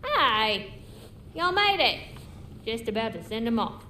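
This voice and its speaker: A woman's voice: on the high side, big pitch swings, a little slow, sharp tone, slight background noise, heavily acted. A woman playing a folksy, plain-spoken female dwarf smith in a video game drama, informal in manner. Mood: subdued welcome. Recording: roomy echo under music.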